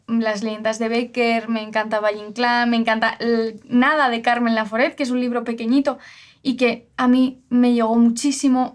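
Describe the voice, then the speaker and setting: Enthusiastic higher-voiced woman from Spain. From La Mancha, Spain. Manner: enthusiastic, chatty. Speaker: co-host of a bookshop's book channel. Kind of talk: casual book discussion.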